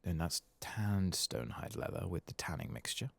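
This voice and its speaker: A man softly narrating a video game playthrough in ASMR style, murmuring and musing hesitantly to himself as he plays. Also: sits low, lively intonation, moderate pace, gravelly. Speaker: gaming channel host. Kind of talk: soft-spoken ASMR game playthrough commentary.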